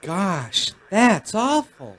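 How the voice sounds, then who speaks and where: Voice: mid-range voice, sing-song, drawn-out words, fairly bright tone, a little hiss or hum, heavily acted, low-quality recording. An actor playing an innocent, eager young boy space hero in a radio play, with an earnest, wholesome manner. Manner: earnest, wholesome, gee-whiz.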